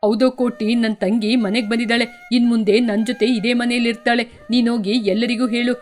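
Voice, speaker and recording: A woman's voice: on the high side, level tone, a little fast, a little mellow, pitched below the performer's own voice, close to an everyday voice. A woman voicing a proud, wealthy middle-aged man full of remorse, speaking in a heavy, regretful way. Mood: remorseful.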